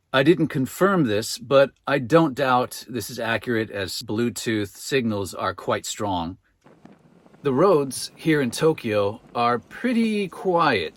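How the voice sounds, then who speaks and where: A man presenting a product review as scripted narration, with measured, clearly phrased delivery. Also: mid-range voice, fairly bright tone. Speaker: product reviewer and channel host.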